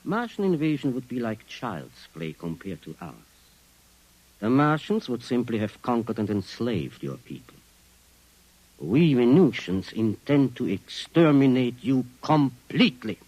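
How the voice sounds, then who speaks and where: An actor playing a calm, mocking Venusian invasion leader with formal, suave speech in a 1950s radio drama. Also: male voice, medium pitch, unhurried, no echo. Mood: smug menace. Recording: muffled vintage radio recording.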